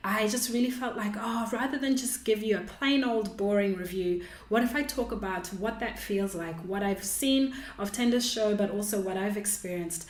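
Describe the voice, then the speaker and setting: Expressive woman podcaster in an echoey room. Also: medium pitch. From Australia, lived in Perth before Melbourne. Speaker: podcast host and interviewer. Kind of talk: conversational podcast narration and interview. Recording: roomy, echoing sound.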